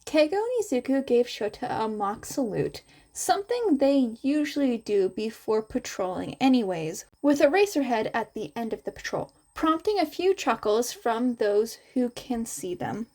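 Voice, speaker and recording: A woman's voice: a little high, big pitch swings, normal speed, hardly any pauses, darkish tone. A woman reading a story aloud as scripted narration. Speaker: podfic narrator.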